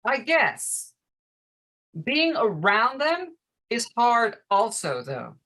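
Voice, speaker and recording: A woman's voice: on the high side, wildly swooping pitch, extremely slow, pushed and bright, heavily acted, low-quality recording. A woman acting in a play reading as an exasperated, sardonic parent of a teenager, blunt and dry in clipped, skeptical lines. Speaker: actor. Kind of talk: staged play reading, naturalistic dialogue.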